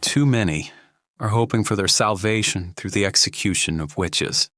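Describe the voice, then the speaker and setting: An actor playing a protective, loyal husband and friend in an audio drama, speaking with earnest concern. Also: male voice, fairly low pitch, moderate pace, fairly bright tone, dry recording, clean studio sound, close to an everyday voice.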